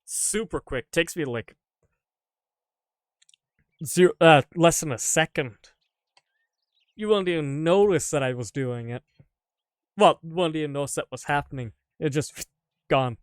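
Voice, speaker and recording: A man's voice: on the high side, measured pace, long pauses, clean tone, no room sound. Joking male streamer, dry close sound. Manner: joking and self-mocking, laughs often. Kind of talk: casual live-stream chat answering viewer questions.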